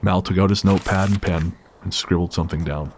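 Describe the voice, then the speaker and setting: A man reading a scripted dramatic story as narrator, measured and grave. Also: low voice, fairly even intonation, noisy background. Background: faint music.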